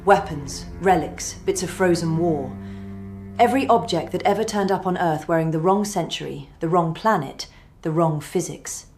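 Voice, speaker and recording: An actress playing a brisk, wry woman in charge of a secret archive, clipped and dry, in an audio drama. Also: on the low side, darkish tone, lightly acted. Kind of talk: scripted audio drama acting. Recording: music under the voice.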